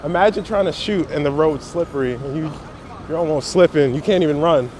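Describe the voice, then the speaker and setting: A man's voice: a little high, brisk, darkish tone. A man chatting casually and in slang, as a photographer being interviewed. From Brooklyn, New York. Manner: slangy, conversational. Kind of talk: casual chat. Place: outdoors on city streets. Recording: clear outdoor city traffic noise.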